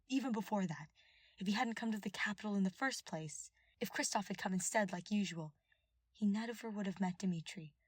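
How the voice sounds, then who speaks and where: A woman reading scripted fiction aloud as an expressive audiobook narrator. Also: mid-range voice, no echo.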